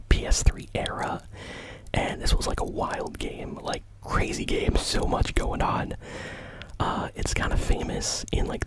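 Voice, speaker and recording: An ASMR host whispering gaming news in a rambling, informal way.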